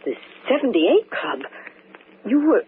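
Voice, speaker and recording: Frightened wife, high and swooping, vintage radio. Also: female voice, big pitch swings, breathy edge, heavily acted. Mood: shaken, evasive. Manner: breathless, halting, melodramatic pleading. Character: frightened, desperate wife fearing her husband. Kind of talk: old-time radio mystery drama acting. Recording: noisy, tinny vintage radio recording.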